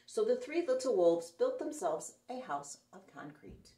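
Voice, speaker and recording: A woman's voice: a little high, big pitch swings, measured pace, mellow. A woman reading a picture book aloud to children in a playful, sing-song way, recorded indoors. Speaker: children's story reader. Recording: echoey room, mic some distance away.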